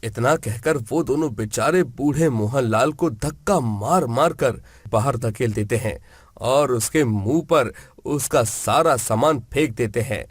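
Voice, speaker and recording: A man's voice: mid-range voice, a little slow, husky, no echo, clean studio sound. A man narrating a scripted moral story as a storyteller.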